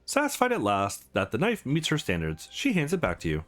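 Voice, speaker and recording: A man's voice: medium pitch, wildly swooping pitch, brisk, a bit bright. A man narrating a visual novel aloud as a let's play host, reading expressively and sometimes correcting a slip. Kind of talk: expressive read-aloud of game narration and dialogue. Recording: music playing behind the voice.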